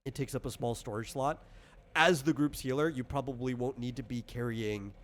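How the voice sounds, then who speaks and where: A man's voice: mid-range voice, fairly even intonation, moderate pace. A man in the role of game master, casually explaining tabletop game rules in a rambling, conversational way with lots of asides.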